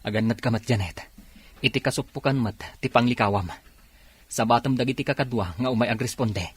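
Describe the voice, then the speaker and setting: An actor with an Ilocano accent playing an urgent, commanding police officer in a radio drama. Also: male voice, medium pitch, a little fast, husky, lightly acted.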